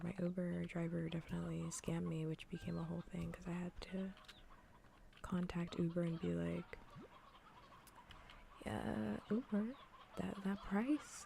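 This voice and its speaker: A woman streamer chatting casually in a soft, breathy, often near-whispered ASMR style, rambling and repeating phrases. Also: fairly low pitch, fairly even intonation, a little slow, pauses often, a little hiss or hum. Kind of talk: soft-spoken ASMR gaming stream chat.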